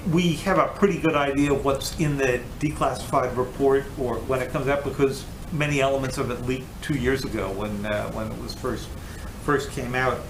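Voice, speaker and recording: A man puts questions as a reporter at a press briefing. His mid-pitched voice is deliberate and a little hesitant. It carries through an echoey room with clear background noise. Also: steady tone.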